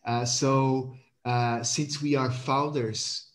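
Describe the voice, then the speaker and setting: A man's voice: medium pitch, little pitch movement, takes it slowly. Man, eager and repetitive. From Portugal. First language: Portuguese. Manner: enthusiastic, repeats words, many fillers and restarts. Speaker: guest, community manager. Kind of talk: video-call talk.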